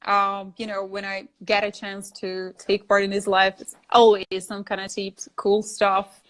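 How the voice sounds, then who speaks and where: A woman from Dagestan, whose first language is Dargin, chats casually over a video call. She is an English teacher, and her talk is full of ums, restarts and small laughs, at a middle pitch. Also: monotone, unhurried, a bit bright, rough recording. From Dagestan, Russia. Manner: chatty, hesitant, frequent fillers and laughs.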